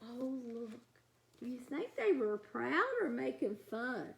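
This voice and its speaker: Children's story reader: woman, high voice, lively melodic range, expressive delivery with chatty asides. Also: takes it slowly, creaky. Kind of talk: animated read-aloud for young children.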